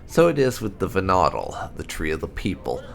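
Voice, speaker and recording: Gravelly man narrating fantasy lore, lively intonation. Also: deep voice, moderate pace. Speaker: gameplay channel host. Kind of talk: dramatic read-aloud narration of fantasy lore. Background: music.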